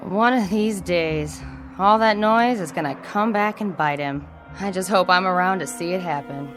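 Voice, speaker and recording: A woman's voice: fairly low pitch, moderate pace, music in the background, natural voice. An actress playing a weary, sardonic woman with a wry, biting manner in a radio drama. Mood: wry, annoyed. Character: sardonic, weary woman grumbling about a noisy neighbour.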